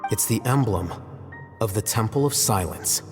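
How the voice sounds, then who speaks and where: A reserved young male scholar, voiced by an actor, speaking in a lowish, even and dry tone. He keeps every word measured and unhurried. Faint game music plays beneath a long reverb. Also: natural voice. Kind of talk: video game voice acting.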